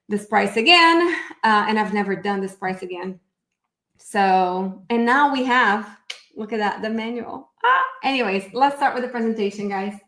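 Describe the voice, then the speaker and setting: A woman's voice: medium pitch, a bit bright, roomy sound. A middle-aged woman with a Brazilian accent hosting a live online class, chatty and upbeat, thinking aloud as she shares her screen. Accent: Brazilian Portuguese. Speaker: therapy educator hosting a live webinar. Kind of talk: casual live online presentation.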